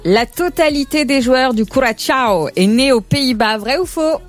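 Female quiz presenter, fairly high voice, casual live radio questioning over soft music. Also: animated, a little slow. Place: radio studio.

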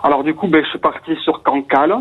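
A radio caller from southwest France telling a story in an easygoing, joking way. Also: male voice, fairly high pitch, fairly even intonation, fast talker, breathy edge, phone-quality sound. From Pau, southwest France.

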